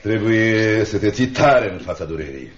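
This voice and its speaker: A dull, band-limited radio drama recording of a man acting a miserly older uncle. His low voice swings widely in pitch as he sneers and brushes others off with sarcastic contempt. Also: animated, close to an everyday voice. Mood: mocking, callous. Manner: sarcastic, dismissive, peppered with muttered repetitions. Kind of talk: Romanian radio theatre acting.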